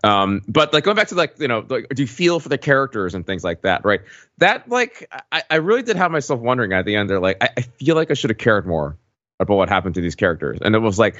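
A man's voice: fairly low pitch, big pitch swings, fast talker, fairly bright tone, no room sound. A man co-hosting a podcast, chatting casually about films, recorded with slightly muffled sound. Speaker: podcast co-host. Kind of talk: casual film discussion. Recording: dull, muffled podcast audio.

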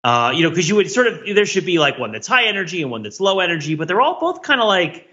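A man's voice: high voice, very animated, fast, bright, forceful tone. A man co-hosting a podcast, giving rambling, mock-serious commentary on trivial things in a casual style. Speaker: podcast co-host. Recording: slightly dull, lo-fi audio.

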